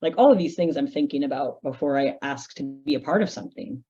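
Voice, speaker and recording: A woman artist on a panel, speaking reflectively and haltingly with restarts. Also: rumbling low, warm tone, highs cut off. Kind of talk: casual panel discussion.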